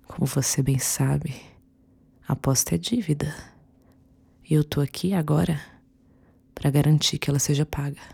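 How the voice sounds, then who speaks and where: Radio drama role: mysterious Brazilian spirit woman, deep, husky, very slow, hushed menace. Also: exaggerated voice. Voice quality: husky, breathy. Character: mysterious, ominous spirit of the world's edge.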